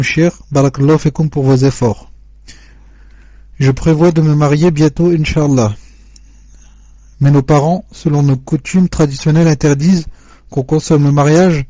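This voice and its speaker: Mellow man reading questions aloud. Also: fairly high pitch, dry recording. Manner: measured, formal reading. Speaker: question reader. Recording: dull dry lo-fi recording.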